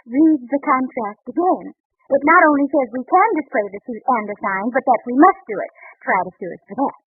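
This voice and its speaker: A high female voice plays a quick-witted American housewife, firm, brisk and scolding, in 1930s radio comedy style. The old recording is dry, muffled and narrow like a telephone. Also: lively intonation, breathy edge, dry recording, heavily acted. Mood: triumphant. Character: sensible, determined, quick-witted young housewife.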